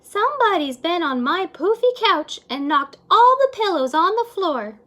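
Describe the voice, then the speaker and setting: A woman's voice: extremely high pitch, very animated, unhurried, fairly bright tone, voice pushed up, full character voice. A woman voicing a fussy, indignant mother bear in a children's storybook.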